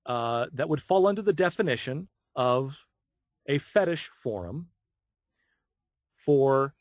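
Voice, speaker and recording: A man's voice: medium pitch, takes it slowly, no room sound, studio quality. Man telling anecdotes in an interview. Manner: animated storyteller, acts out quoted dialogue. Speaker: interviewed anime voice actor. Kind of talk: casual anecdotal interview talk.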